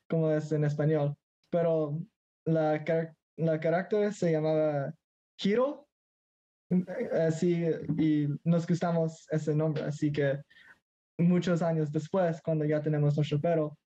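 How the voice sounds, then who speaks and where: A man's voice: fairly high pitch, mellow. Hesitant teenage boy, dull lo-fi sound. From Mexico. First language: Spanish. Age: teens. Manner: hesitant, searching for words, mixes in English. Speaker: guest. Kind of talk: casual conversation.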